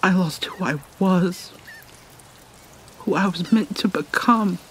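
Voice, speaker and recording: Casting: woman to play haunted vampire mermaid princess for ASMR drama; slow, theatrical, breathy near-whisper, light rainy ambience behind. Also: lowish voice, a little hiss or hum. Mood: grieving, bitter. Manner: halting, theatrical, mournful. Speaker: roleplay voice actor. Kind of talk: dramatic ASMR roleplay monologue.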